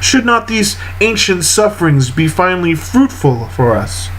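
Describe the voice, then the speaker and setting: Slow, higher-voiced man reciting poetry. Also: big pitch swings, a bit bright, soft background music, rough recording. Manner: deliberate, measured phrasing with frequent pauses. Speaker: poetry reader. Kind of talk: solemn literary poetry recitation.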